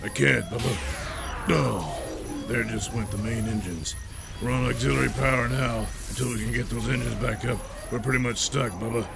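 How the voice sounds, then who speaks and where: A man's voice: medium pitch, normal speed, creaky, heavy background noise, natural voice. A man voicing a formal, literal-minded starship officer who reports precisely in a sci-fi comedy audio drama. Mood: earnest, concerned.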